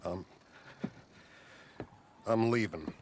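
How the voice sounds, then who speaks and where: A man playing a troubled, repentant preacher in a video game, speaking earnestly and haltingly. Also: low-pitched, darkish tone, a little hiss or hum. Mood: troubled, ashamed. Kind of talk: video game cinematic acting.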